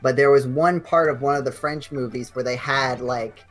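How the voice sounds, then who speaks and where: A man's voice: medium pitch, normal speed, soft background music. A young man chatting casually with friends on a game night stream, telling rambling stories full of restarts. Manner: rambling, animated storytelling with many restarts. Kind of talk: casual chat among friends.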